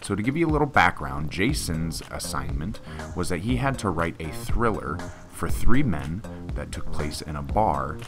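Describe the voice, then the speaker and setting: Casting: male podcast presenter, exceptionally low register, conversational intro style, music bed underneath. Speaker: podcast host. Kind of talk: informal spoken introduction. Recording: music behind the voice.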